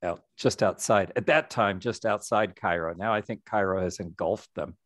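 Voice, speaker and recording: A middle-aged man, a nonprofit executive director, giving a reflective, measured story-led presentation over a video call. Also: lowish voice, noisy background. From United States.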